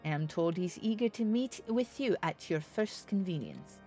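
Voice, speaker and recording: A woman's voice: fairly low pitch, very animated, normal speed, warm tone, faint music behind. A woman voicing a gracious, welcoming knight lord from a fantasy game, speaking in a courtly, florid way. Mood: gracious, courteous.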